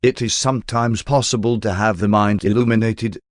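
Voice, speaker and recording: A man's voice: on the low side, fairly even intonation, moderate pace, dry recording. Man narrating, dry close studio sound. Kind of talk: audiobook reading of spiritual text.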